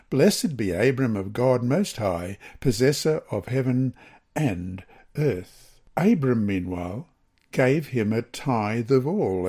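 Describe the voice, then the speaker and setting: Male, lower-pitched middle register with lively intonation, reading religious lesson material deliberately. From Queensland, Australia. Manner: measured, deliberate read-aloud delivery. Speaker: lesson reader. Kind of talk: scripted religious lesson narration with scripture readings.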